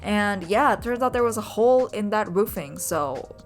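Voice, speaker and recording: A young woman, a native Indonesian speaker, narrating her sketching vlog in a soft, rambling way with many ums. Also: mid-range voice, lively intonation, normal speed, faint music behind. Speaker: vlogger and urban sketcher. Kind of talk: casual voice-over narration.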